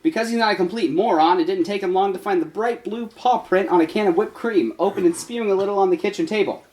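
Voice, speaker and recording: A woman's voice: medium pitch, quick pace, darkish tone. A woman reading a comic story live as part of a comedy troupe, a little tipsy and stumbling over words. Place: live show in a room.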